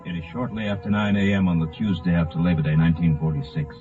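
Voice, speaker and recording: A man narrating a scripted 1950s radio drama in a measured, factual case-file manner. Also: low-pitched. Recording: muffled 1950 radio recording. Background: music.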